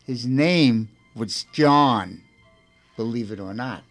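Brooklyn-accented man with a gravelly voice. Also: medium pitch, animated, slow, fairly bright tone, soft background music. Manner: chatty, laughs often, repeats and restarts. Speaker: radio show host. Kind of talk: casual radio chat and storytelling.

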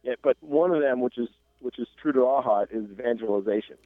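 A man's voice: mid-range voice, fast, dry recording. A man calling into a radio show as an event organizer, speaking earnestly with many fillers. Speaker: call-in guest, event organizer. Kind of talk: casual radio chat by phone. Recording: dry tinny phone line.

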